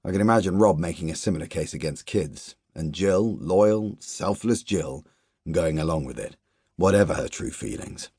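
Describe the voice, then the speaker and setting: Deep, husky male audiobook narrator. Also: no echo, clean studio sound. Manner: measured first-person narration, quiet asides in dialogue. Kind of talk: literary audiobook reading.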